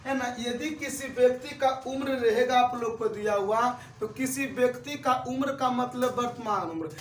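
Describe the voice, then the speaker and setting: A young man in his twenties teaches math with a strikingly high voice. He keeps repeating each step and asking his students questions. He stands back from the mic in a roomy, echoing space. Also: moderate pace, fairly bright tone, distant mic, slight background noise. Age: 20s. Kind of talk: classroom-style lesson.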